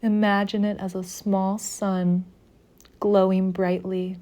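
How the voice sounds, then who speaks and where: Female meditation guide: soothing, mellow tone, very slow measured delivery with long pauses. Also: medium pitch, level tone.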